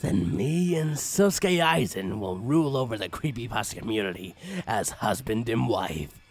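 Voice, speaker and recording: A man narrating a comic horror story in a theatrical, mock-ominous manner with drawn-out phrases and a raspy voice. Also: on the low side, lively intonation. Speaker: creepypasta reader.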